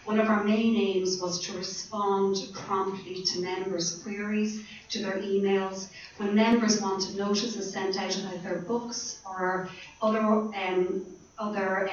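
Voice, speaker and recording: An Irish woman committee member setting out her objections at a meeting in a measured way, with frequent ums. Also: medium pitch, slight background noise. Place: hotel function room. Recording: echoey hall, distant mic, dull lo-fi sound.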